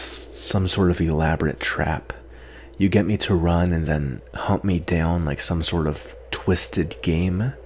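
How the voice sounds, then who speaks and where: Casting: male actor as wry, guarded vampire for ASMR roleplay, very low voice, soft and hesitant, faint noise behind. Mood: wary, bitter. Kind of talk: ASMR roleplay, intimate scripted acting.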